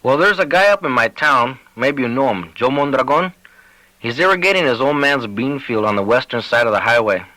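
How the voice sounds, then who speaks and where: A man's voice: mid-range voice, lively intonation, a little fast, pushed and bright, dry recording, rough recording. A man voicing a weary small-town sheriff in an audiobook, folksy and uncertain. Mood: worried.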